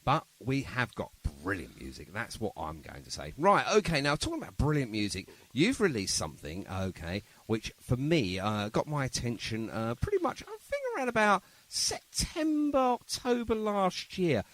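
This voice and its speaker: A man's voice: mid-range voice, wildly swooping pitch, normal speed, hardly any pauses, fairly bright tone, low-quality recording. A man hosting a podcast in casual interview chat, with a rambling, hesitant way of phrasing his questions. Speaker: podcast host.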